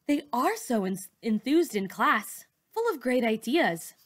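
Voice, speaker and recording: A woman's voice: on the high side, big pitch swings, a little slow, voice pushed up. A woman reading a children's novel, voicing a proud, strict perfectionist teacher, a woman with a firm, earnest, defensive manner. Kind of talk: children's novel read-aloud dialogue.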